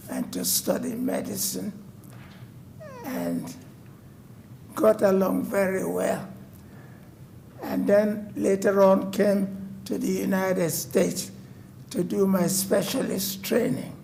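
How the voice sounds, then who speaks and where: Old guy in his 90s telling his story to a city board, slow and gravelly. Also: high voice, steady tone, dark tone, a little hiss or hum. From West Africa. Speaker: resident addressing a code enforcement board. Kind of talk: personal testimony at a public meeting. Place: city council chamber.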